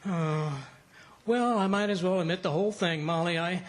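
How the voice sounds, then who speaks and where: A woman's voice: fairly low pitch, moderate pace, a little hiss or hum, lightly acted. A woman playing a blustery, scheming husband in an old-time radio comedy, folksy and evasive. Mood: evasive, relieved. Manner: folksy, blustering, hesitant when cornered.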